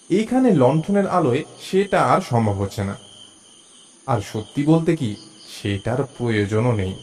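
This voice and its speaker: A man's voice: mid-range voice, slight background noise. A man narrating a suspense story in the first person, in a dramatised audio-story style. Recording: music behind the voice. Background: music.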